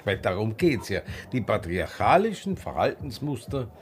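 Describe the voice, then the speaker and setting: Sharp-tongued Austrian drag queen. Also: on the low side, big pitch swings, moderate pace, a little hiss or hum. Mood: defiant. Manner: theatrical, teasing. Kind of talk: naturalistic radio drama dialogue.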